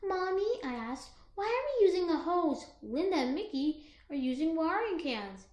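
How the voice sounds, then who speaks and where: A woman co-reading a children's picture book aloud in an expressive, emphatic way. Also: very high-pitched, moderate pace, reverberant. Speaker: co-reader of a children's story.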